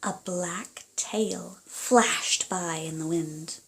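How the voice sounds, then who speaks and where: A woman reading aloud for children and parents, in an expressive, deliberate storytelling manner. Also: lowish voice, vocal fry, rough recording. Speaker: storybook reader.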